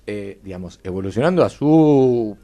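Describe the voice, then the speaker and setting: A man's voice: fairly low pitch, lively intonation, moderate pace, dark tone. A man with an Argentine Rioplatense accent chatting casually as a panelist on a radio show. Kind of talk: casual conversation. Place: radio studio.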